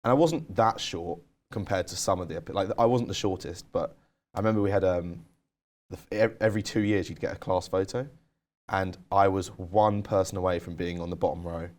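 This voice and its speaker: A man's voice: sits low, a little fast, a little mellow. A young man co-hosting a podcast, telling candid stories in casual chat with lots of "like". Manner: candid, storytelling, uses "like" a lot. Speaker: podcast co-host.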